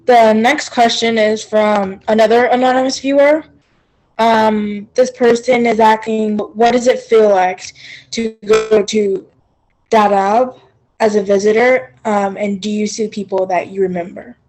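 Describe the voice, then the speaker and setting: A woman moderating a library author talk, reading out audience questions with many hesitant pauses. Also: fairly high pitch, steady tone, darkish tone, poor audio.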